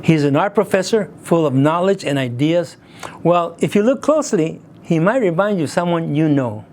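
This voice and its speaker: An upbeat male host introduces his show and interviews a guest. His voice sits a little high, and he keeps up a stream of encouraging affirmations. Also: animated, moderate pace, darkish tone. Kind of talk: scripted show intro, then casual interview.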